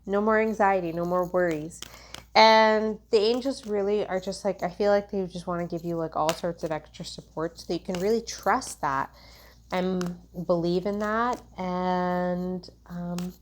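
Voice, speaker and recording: A woman's voice: fairly low pitch, fairly even intonation, unhurried, slight background noise. A woman giving a tarot reading in a loose, meandering way, full of fillers and restarts. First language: English. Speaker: tarot reader. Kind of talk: casual spiritual tarot reading.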